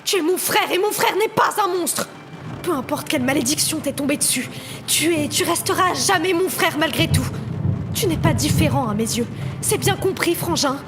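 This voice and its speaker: A woman's voice: high voice, animated, normal speed, music in the background. A woman playing a worried, fiercely loyal sister in an audio drama, speaking in an emotional, insistent way. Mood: fiercely protective.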